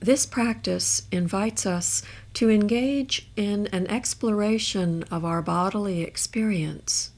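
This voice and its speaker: A woman guiding a meditation in a measured, gentle way, leaving space between her phrases. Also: medium pitch, creaky. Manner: measured, gentle instruction with long pauses. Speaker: meditation guide. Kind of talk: guided body scan meditation.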